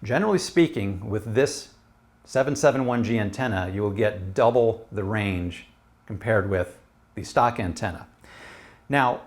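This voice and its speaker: Man, middle-aged, American accent, slightly deep voice, casual product review, explanatory, lapel mic. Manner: conversational, explanatory. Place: small room at home.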